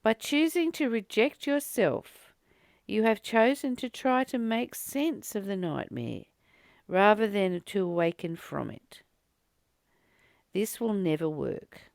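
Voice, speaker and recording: A woman's voice: mid-range voice, animated, takes it slowly, vocal fry, darkish tone, dry recording, clean studio sound. A woman reading a spiritual text and commenting on it as a teacher, earnest and exhorting, repeating phrases for emphasis.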